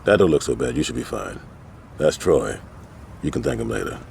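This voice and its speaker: Game cutscene: middle-aged male gang leader, deep, authoritative, stern but fatherly, faint noise behind. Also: very animated, normal speed, vocal fry, darkish tone, full character voice. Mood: calm, firm. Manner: authoritative, speechifying. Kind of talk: video game cutscene acting, street slang.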